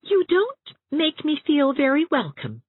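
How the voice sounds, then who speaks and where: A woman voicing a warm, outspoken young woman with an earnest, gushing way of talking, in an audiobook. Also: high-pitched, wildly swooping pitch, unhurried, dry recording, narrow, tinny audio, pitched above the performer's own voice, heavily acted. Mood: earnest, candid. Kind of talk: audiobook character acting.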